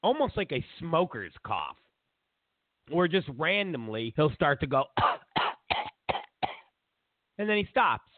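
A congested man with a stuffy nose hosts a political talk show. He rambles sarcastically in a lively, slow-moving voice. The sound is tinny and phone-like, and dry. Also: on the high side, no room sound. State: congested from a cold. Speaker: show host.

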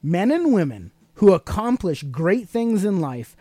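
A man's voice: high-pitched, big pitch swings, unhurried, dark tone, no room sound. Podcast host: American male, middle-aged, emphatic and sermonizing, speaks straight to listeners with rhetorical questions. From United States. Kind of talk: motivational talk to listeners.

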